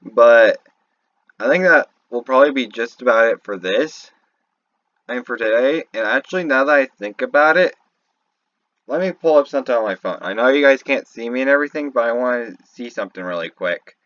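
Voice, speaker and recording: Male gaming commentator, mid-pitched, casual and halting, thinking aloud on a dull, lo-fi setup. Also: expressive, fairly bright tone, dry recording. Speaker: YouTube commentator. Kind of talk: casual off-the-cuff game lore analysis.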